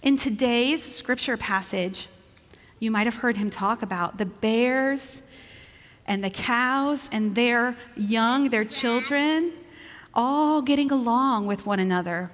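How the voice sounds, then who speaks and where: A woman speaks to young children in a church with long reverb. Her voice is a bit high, and she talks gently and informally, pausing between simple phrases. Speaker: church leader giving children's moment. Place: large indoor church.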